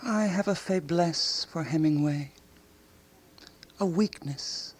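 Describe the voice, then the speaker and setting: A woman's voice: low voice, takes it slowly, a little mellow, slightly rough, heavily acted. An actress playing an exuberant, self-assured older woman intellectual and amateur sleuth in a radio drama, theatrical and teasing. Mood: smitten, rhapsodic.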